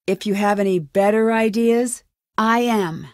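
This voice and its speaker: A woman's voice: medium pitch, a little slow, dry recording, studio quality, natural voice. An American woman playing a determined young amateur detective in a video game, assertive and questioning. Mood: businesslike, curious. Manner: assertive, questioning, clearly enunciated. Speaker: game protagonist.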